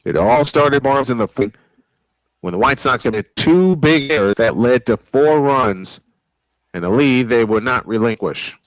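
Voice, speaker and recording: A man's voice: mid-range voice, animated, unhurried, dry recording, poor audio. A man announcing a baseball game live, mixing old-school play-by-play with casual asides. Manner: old-school sportscaster delivery.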